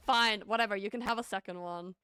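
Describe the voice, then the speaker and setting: A woman's voice: fairly high pitch, sharp tone, clear, resonant tone, no echo. A young woman from the UK with a light British accent that leans a bit American, chatting casually and playfully with her stream viewers. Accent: light British, partly American-sounding. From United Kingdom. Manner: bubbly, giggly, playfully sassy. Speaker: streamer, VTuber artist. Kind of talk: casual live-stream chat reading viewer messages.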